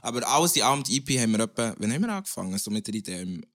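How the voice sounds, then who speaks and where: A man's voice: medium pitch, sing-song, very fast, a bit bright, dry recording, studio quality. A young man, a guest rapper chatting casually in a podcast and rambling with restarts.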